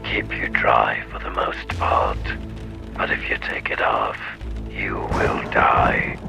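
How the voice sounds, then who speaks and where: An actor playing a stern, manipulative colonel in a sci-fi audio drama, with a gravelly, commanding, secretive delivery. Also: male voice, rumbling low, completely flat delivery, full character voice. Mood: grave, hushed. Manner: commanding, deliberate, dropping into gravelly near-whispers. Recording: music bed under the voice. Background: music.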